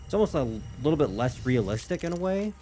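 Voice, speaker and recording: A man's voice: a little high, very animated, fast talker, mellow, faint music behind. A man hosting a gaming reaction video, talking casually and thinking aloud in a tentative way.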